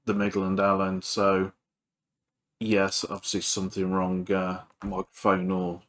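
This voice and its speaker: A man giving casual hands-on repair commentary as a video host, halting and thinking aloud while he works. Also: low voice, completely flat delivery.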